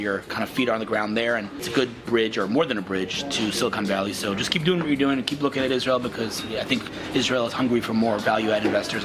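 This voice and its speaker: An American man from New York hosting a casual vlog interview in an effusive, exclamatory way. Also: medium pitch. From New York, United States.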